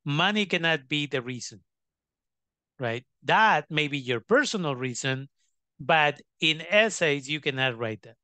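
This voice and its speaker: A man's voice: on the high side, lively intonation, a little slow, bright, forceful tone, no room sound. A man giving an instructional talk as an educator, speaking in an emphatic, direct way to students. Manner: emphatic, direct, checks in with "right" and "okay". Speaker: educator presenting scholarship advice.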